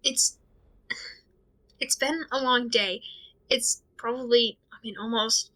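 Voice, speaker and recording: Woman playing an anxious, stammering investigator, bright voice. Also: a little high, steady tone, poor audio. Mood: weary, overwhelmed. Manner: halting, stammering, rambling, fretful. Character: anxious, overwhelmed amateur investigator talking through a dilemma alone. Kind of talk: audio drama monologue, recorded diary.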